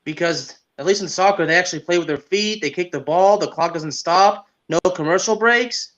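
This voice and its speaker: A male sports podcast co-host with a fairly high voice. He talks in a casual, dry, opinionated way, and the audio is dull and lo-fi. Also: normal speed, a bit bright.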